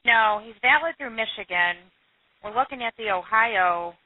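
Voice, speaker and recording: A woman's voice: a little high, lively intonation, moderate pace, no echo. Muffled woman dispatcher, clipped and businesslike. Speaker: police radio dispatcher. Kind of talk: terse procedural radio dispatch talk. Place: dispatch room, recorded over phone and radio lines. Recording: narrow muffled phone and radio line.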